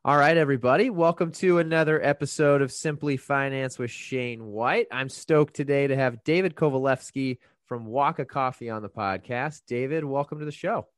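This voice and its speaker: A man's voice: medium pitch, moderate pace, hardly any pauses, no room sound, studio quality. A man hosting his podcast in a loose, upbeat way, reading a sponsor spot and introducing a guest with casual fillers. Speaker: podcast host.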